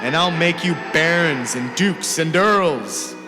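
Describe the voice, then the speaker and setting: A man acts out a huge young rebel who crowns himself emperor in a storybook tale. He proclaims in a raised, booming voice, stretching his words with imperious grandeur. Loud music plays underneath. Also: lively intonation, slow, big-room sound. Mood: imperious command.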